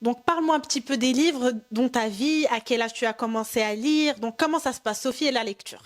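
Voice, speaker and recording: A woman with a Moroccan accent hosts a podcast interview in a high, bright voice. She talks at a rapid clip, moving easily between French and Moroccan Arabic as she asks her questions. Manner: switches between French and Darija, quick intro. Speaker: podcast host.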